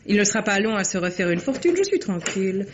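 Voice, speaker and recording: An actress playing a haughty, sharp-tongued, romantic kept mistress in theatrical, ironic 1950s French radio drama style. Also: female voice, mid-range voice, big pitch swings, fast talker, a little hiss or hum, natural voice. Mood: proud. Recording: dull vintage radio recording.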